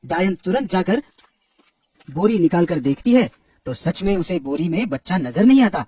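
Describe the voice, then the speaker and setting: A man's voice: high voice, sing-song, moderate pace, mellow, slightly rough, no room sound. A man narrating a scripted horror story for children.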